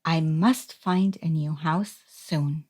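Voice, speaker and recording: A woman's voice: sits low, slow. A woman voicing a timid, polite hermit crab in a children's storybook, earnest and courteous. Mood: worried. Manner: gentle, earnest, courteous questioning.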